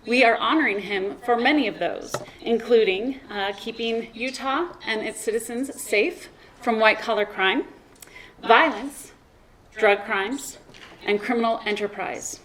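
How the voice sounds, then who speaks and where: Woman reading a tribute at an award ceremony, kinda high voice, small slips. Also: unhurried, fairly bright tone, poor audio. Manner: reads steadily with occasional slips and restarts. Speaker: presenter reading a citation.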